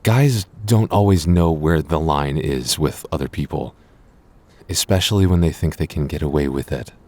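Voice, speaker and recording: A man's voice: rumbling low, moderate pace, a little mellow. A man playing a jealous, apologetic boyfriend in an audio roleplay, speaking earnestly with hesitant stammers. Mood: agitated, earnest. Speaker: voice actor.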